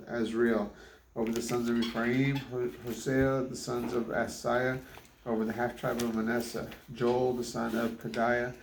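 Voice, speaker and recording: A man's voice: medium pitch, vocal fry. A man reading the Bible aloud as a father, stumbling over difficult names and retrying them. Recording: roomy echo, faint background noise.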